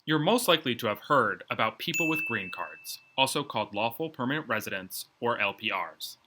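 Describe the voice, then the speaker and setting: A man presenting an educational video in a scripted, measured, explanatory way. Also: mid-range voice, lively intonation, bright, forceful tone, slight background noise. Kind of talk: scripted informational narration.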